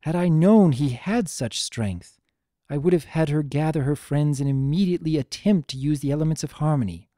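A narrator voicing a regal, mature female pony ruler, calm, formal and firm. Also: medium pitch, moderate pace, no echo, studio quality, natural voice. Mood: firm, grave.

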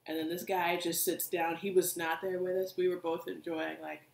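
A young woman, co-hosting a podcast and telling stories in an animated, casual way. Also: on the low side, moderate pace, vocal fry. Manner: animated storytelling, many asides. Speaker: podcast co-host. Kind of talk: casual chat. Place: living room couch, indoors. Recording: echoey room.

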